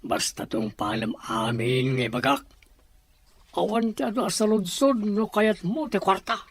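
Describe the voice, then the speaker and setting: A man playing a scheming, secretive, moneyed boss in an Ilocano audio drama, with a husky voice and a Filipino accent. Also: sits high, animated, measured pace, no room sound, exaggerated voice. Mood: secretive, commanding.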